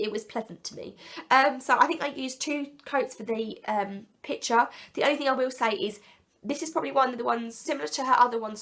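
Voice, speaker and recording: A woman with a sick, croaky and blocked-up voice, chatting enthusiastically and rambling a little as she reviews products in a casual vlog. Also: mid-range voice, fairly bright tone. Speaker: nail polish vlogger.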